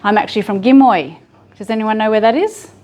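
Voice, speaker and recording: A middle-aged Australian woman, an author, speaking to an audience in a library room in a relaxed, chatty way with frequent ums and restarts. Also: mid-range voice, a little fast. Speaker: author giving a library talk. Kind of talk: relaxed public talk to an audience.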